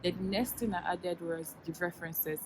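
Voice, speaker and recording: A woman's voice: lowish voice, steady tone, normal speed, music in the background, poor audio. A Nigerian woman in her twenties, a Yoruba speaker, giving earnest, chatty advice in a vlog with many fillers and restarts. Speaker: YouTuber giving application advice.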